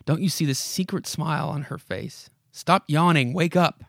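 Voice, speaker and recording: A man's voice: a little high, moderate pace, creaky, a bit bright, no echo, clean studio sound, pitched above the performer's own voice. Man voicing an urgent, insistent haunted old house. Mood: scolding, impatient. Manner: dramatic, commanding second-person address with repeated imperatives. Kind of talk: horror comic read aloud.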